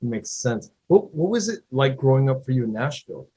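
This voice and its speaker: A man with a husky, slightly rough voice asks questions in a loose, rambling way, full of fillers. His pitch is ordinary for a man. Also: sing-song, brisk, warm tone. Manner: rambling, hesitant, many fillers. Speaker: interviewer. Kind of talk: casual interview chat.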